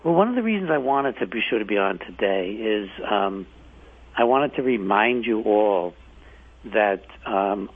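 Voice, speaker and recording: An older man hosting a radio show from home, hoarse from the flu, rambling with fillers and restarts. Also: fairly low pitch. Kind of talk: casual talk radio monologue. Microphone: home setup, not his usual studio mic. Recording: tinny phone-like line.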